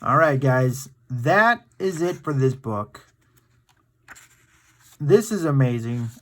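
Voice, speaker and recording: A man's voice: medium pitch, expressive, slow pace, a bit bright. A man hosting a children's story time, reading aloud in an enthusiastic, playful and dramatic way with casual asides to his young viewers.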